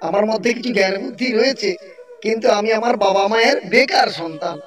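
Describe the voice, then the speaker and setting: Earnest jobless village youth, played by a man, high voice, plaintive, cartoon drama, slightly distant with music. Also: a little fast, fairly bright tone. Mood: pleading. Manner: pleading, plaintive. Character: earnest, frustrated jobless young village son. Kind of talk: Bengali cartoon story dialogue.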